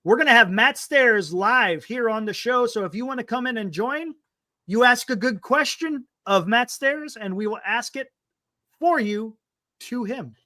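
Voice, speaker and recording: Host type, man in his 50s, bright and lively delivery, emphatic, keeps saying "man", dry close sound. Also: extremely high pitch, measured pace, dry recording. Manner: emphatic, repeats himself, calls people "man". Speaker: sports talk show host. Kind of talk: casual live-stream sports chat.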